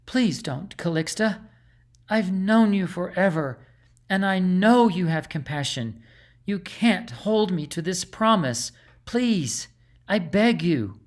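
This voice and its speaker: A man's voice: high-pitched, lively intonation, slow, pitched above the performer's own voice. A man voicing an anxious grandmother queen in a storybook, urgent and pleading.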